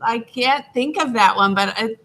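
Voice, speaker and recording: A woman with a high, bright voice chats on a group live stream. Her voice is going hoarse from use. She breaks into bits of remembered theme songs. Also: moderate pace, poor audio. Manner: sings snippets of old theme songs. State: losing her voice. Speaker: guest. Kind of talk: casual group chat.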